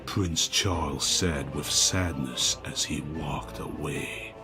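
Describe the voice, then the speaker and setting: A man narrating a scripted dramatic story in a deliberate, mock-serious manner. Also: a bass voice, music in the background.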